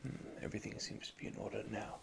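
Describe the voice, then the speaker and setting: A man whispering softly and methodically as he plays a repair technician in an ASMR roleplay, recorded close to the microphone. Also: fast, background noise. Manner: soft, calm, methodical, speaks in technical jargon. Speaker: ASMR creator playing an android repair technician.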